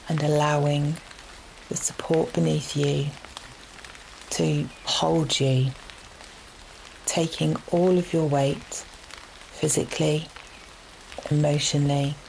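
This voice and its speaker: Low, very slow woman guiding meditation. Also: creaky, slight background noise, rough recording. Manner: gentle, soothing, unhurried with long pauses. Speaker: yoga nidra meditation guide. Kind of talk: guided relaxation meditation. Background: steady rain sounds.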